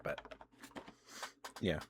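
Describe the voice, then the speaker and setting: A man livestreaming casual ASMR chat about games, talking in a rambling, halting way.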